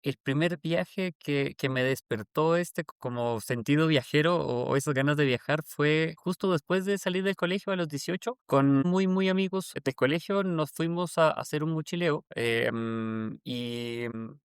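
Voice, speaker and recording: A young man from Colombia and Chile, telling relaxed stories as a guest in an interview, with many fillers and self-corrections. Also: on the high side, moderate pace, smooth, dry recording, clean studio sound. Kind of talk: casual interview conversation.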